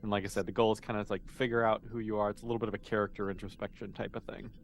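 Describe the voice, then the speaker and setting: A man's voice: on the low side, little pitch movement, rapid-fire. A man speaking casually and informally as a game master, explaining and narrating a tabletop roleplaying game.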